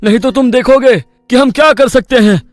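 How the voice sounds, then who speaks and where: Man playing a high-pitched, brave village hero. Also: a little fast, dry recording. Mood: defiant. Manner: earnest, rallying, declamatory. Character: brave, determined male village friends defying a demon. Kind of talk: animated story dialogue.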